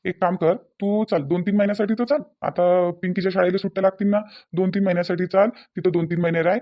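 A man's voice: high voice, fairly even intonation, fast talker, dark tone, close to an everyday voice. A man playing an earnest, dutiful husband and son in a cartoon, coaxing and repeating himself in a Varhadi Marathi accent from Vidarbha. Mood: persuasive. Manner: repetitive, reasoning, persuasive. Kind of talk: cartoon dialogue. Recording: dull, lo-fi sound.

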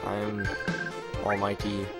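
A man's voice: fairly low pitch, monotone, takes it slowly. A young man giving casual, rambling gameplay commentary with jokey mock bravado. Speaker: let's play commentator. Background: video game music.

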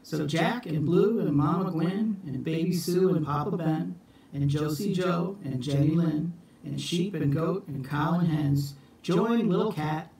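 A middle-aged man reads a picture book aloud with theatrical care. His voice sits fairly high and he takes it slowly. The room echoes heavily around him. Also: creaky, darkish tone. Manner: deliberate, theatrical storybook delivery. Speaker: storytime reader for schoolchildren. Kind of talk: expressive children's picture book read-aloud. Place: small room at home, indoors. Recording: echoey room, distant phone mic.

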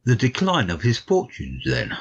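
A man playing a modest, polite gentleman narrator, the detective's puzzled friend, in a dramatic audiobook on a dull recording. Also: medium pitch, wildly swooping pitch, moderate pace, breathy edge, heavily acted. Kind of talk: dramatic audiobook reading, storytelling.

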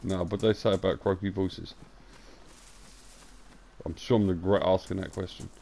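A young man, his voice croaky and pitched on the low side. He chats with cheeky, crude humor as he live-streams a game from a home setup. A faint hiss sits under his voice. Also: fairly even intonation, normal speed, mellow. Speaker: gaming streamer. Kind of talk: casual live-stream banter.

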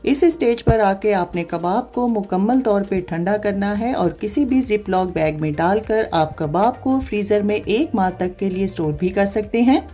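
Lady doing a cooking voiceover, calm step by step, soft mellow tone, faint music under. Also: medium pitch, unhurried, few pauses. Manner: calm step-by-step explaining, addresses viewers directly. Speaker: cooking channel host. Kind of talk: instructional recipe voice-over. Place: voice-over, not recorded in the pictured kitchen.

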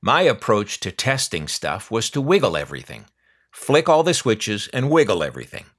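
A man's voice: fairly low pitch, wildly swooping pitch, moderate pace, fairly bright tone, slightly rough. An older man reminiscing casually in a wry, folksy storytelling manner, drawing out phrases for emphasis. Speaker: narrator, vintage electronics collector. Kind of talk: casual reminiscing narration over catalog pages.

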